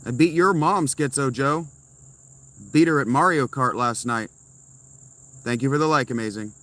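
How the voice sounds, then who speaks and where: A man's voice: medium pitch, moderate pace, sharp tone, no room sound. A man streaming live from inside a tent, answering chat messages with blunt, sarcastic replies. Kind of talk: casual livestream chat.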